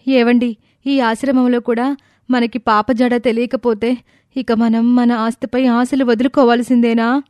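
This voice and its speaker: A woman's voice: on the high side, flat delivery, measured pace, a little mellow, dry recording, close to an everyday voice. A woman playing an anxious, deferential daughter-in-law in an audio moral story. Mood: anxious, apologetic.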